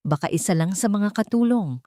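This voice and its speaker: A woman's voice: mid-range voice, expressive, a little fast, warm tone, no echo, studio quality, close to an everyday voice. A woman voicing a haughty, scheming older mother-in-law in a narrated story, serious and scornful. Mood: dismissive. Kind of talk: acted dialogue in a narrated story.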